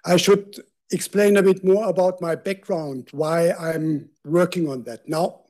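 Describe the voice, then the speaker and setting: A man's voice: high voice, fairly even intonation, a little slow, darkish tone. An older man with a German accent, giving a public lecture from a lectern microphone in a university lecture hall, speaking conversationally with self-deprecating asides. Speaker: guest lecturer.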